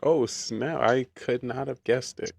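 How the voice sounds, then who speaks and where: A man's voice: medium pitch, unhurried, creaky, dry recording. A man hosting a casual gaming stream, joking around and laughing often. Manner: playful, laughs often, lively. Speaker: stream host.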